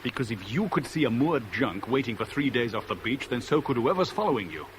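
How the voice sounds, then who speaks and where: An actor playing a tense, clipped, commanding escape organiser in a 1970s radio thriller. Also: male voice, mid-range voice, big pitch swings, close to an everyday voice. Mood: impatient. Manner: brisk, insistent questioning. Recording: noisy old radio drama recording.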